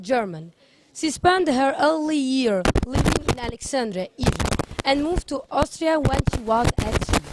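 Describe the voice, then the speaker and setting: A woman's voice: high-pitched, very noisy. A woman hosting an interview, giving a prepared introduction and asking questions. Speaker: interviewer, host.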